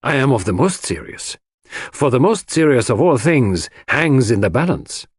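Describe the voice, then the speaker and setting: A man's voice: medium pitch, big pitch swings, normal speed, breathy edge, no echo, clean studio sound. A man playing a grave, theatrical older foreign detective, speaking with solemn, formal foreign phrasing in an audiobook. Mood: grave, dramatic.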